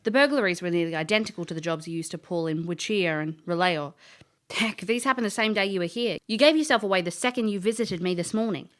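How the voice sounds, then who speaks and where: A woman's voice: on the low side, animated, quick pace, natural voice. An actress playing a world-weary female elf, a former thief, dry and blunt in an audio drama. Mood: stern, matter-of-fact. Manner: dry, blunt, matter-of-fact.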